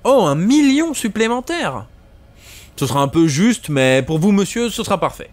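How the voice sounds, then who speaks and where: Guy acting as slick pompous startup boss, high voice that swoops around, loves english buzzwords, audio comedy. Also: very animated, moderate pace. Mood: slick, obsequious. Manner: grandiloquent, peppers French with English business jargon.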